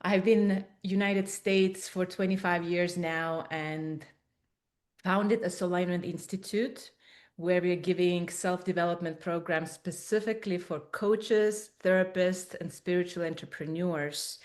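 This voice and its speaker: A woman with an Estonian accent leads a webinar from a room with a small group. Her voice sits on the low side for a woman, and she speaks to the audience directly, asking them to join in. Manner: warm and inviting, addresses audience directly. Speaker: coach and guest speaker. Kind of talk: webinar presentation.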